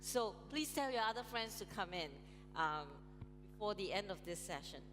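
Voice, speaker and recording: A woman's voice: mid-range voice, lively intonation, normal speed. Woman running a conference panel, chatty with lots of ums, echoey hall, music going. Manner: chatty, laughs often, many ums. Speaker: panel moderator. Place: large conference hall on stage. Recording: reverberant hall, music playing.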